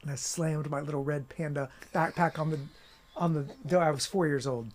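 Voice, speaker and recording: A man talking about his work as an author and editor in a casual interview, animated, with many restarts. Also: a little high, normal speed. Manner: animated storyteller, many restarts and "you know".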